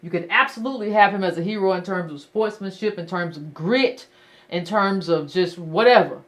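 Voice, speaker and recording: A woman's voice: medium pitch, moderate pace. A woman hosting a call-in show, talking bluntly and emphatically and repeating her points to callers. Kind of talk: casual call-in talk.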